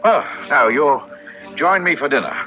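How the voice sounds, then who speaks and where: Radio drama: a scholarly, fussy old friend, highly animated, musing and hesitant, on muffled vintage audio. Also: male voice, unhurried. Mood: fond, reassuring. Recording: dull lo-fi radio recording with music.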